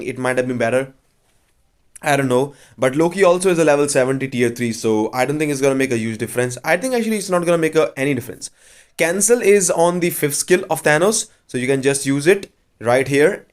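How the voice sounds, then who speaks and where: Man with a cold narrating gameplay. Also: fairly high pitch. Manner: chatty, blunt, offhand verdicts. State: has a cold. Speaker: gaming channel host. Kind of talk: casual game walkthrough commentary.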